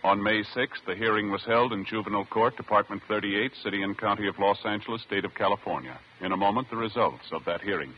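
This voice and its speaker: An American man announcing in formal, measured, official 1950s radio style, reading scripted narration. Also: on the low side, slight background noise. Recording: dull old radio recording. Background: music.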